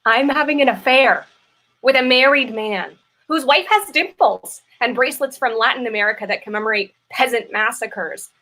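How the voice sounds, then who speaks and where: Woman acting a bright-voiced, guilt-ridden mistress. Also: fairly high pitch, moderate pace, rough recording. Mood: agitated, self-critical. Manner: earnest, emphatic, self-dramatizing confessional delivery. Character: guilt-ridden, dramatic mistress craving judgment. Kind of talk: live play reading, comedic stage acting.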